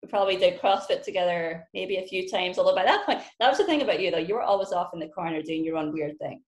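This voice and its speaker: A woman's voice: fairly low pitch, fast. A Scottish woman in her thirties, a podcast guest chatting casually with a witty, teasing, blunt manner. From Scotland. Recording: roomy echo.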